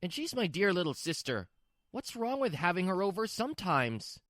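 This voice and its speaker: Manga dub role: dismissive, brusque husband played by a woman, lowered pitch, animated delivery, dry sound. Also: normal speed, dry recording. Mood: defensive, irritated. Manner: brusque, defensive. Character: stubborn, dismissive husband doting on his little sister. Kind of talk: scripted manga dub dialogue.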